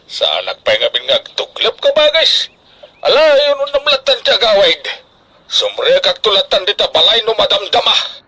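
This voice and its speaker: A man's voice: high voice, very animated, normal speed, pushed and bright, slightly rough, heavily acted, rough recording. A man acting an emotional, doting older farmer father in an Ilocano radio drama, speaking emphatically with a Filipino Ilocano accent. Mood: proud, eager. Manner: emphatic, emotional, colloquial exclamations.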